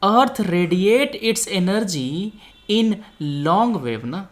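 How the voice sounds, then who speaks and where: A man's voice: sits high, sing-song, drawn-out words. A man teaching an exam coaching lesson, explaining didactically, mixing in English terms and often checking that learners follow. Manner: didactic, frequently checks understanding; mixes Hindi with English technical terms. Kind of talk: explanatory lecture with quiz questions.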